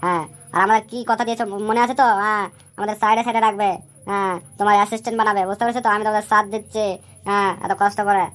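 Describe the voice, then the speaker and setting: A woman's voice: medium pitch, steady tone, rapid-fire, fairly bright tone, lightly acted. A woman voicing a cheeky young male village sidekick in a comic cartoon, talking in a slangy, nagging way.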